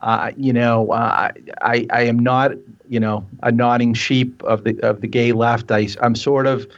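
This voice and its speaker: Fifties male guest, halting and self-deprecating, heard over a dull remote line. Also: mid-range voice, flat delivery. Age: 50s. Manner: hesitant, repeats words, self-deprecating. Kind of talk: casual chat on a call-in show. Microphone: remote call line. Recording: dull lo-fi online call audio.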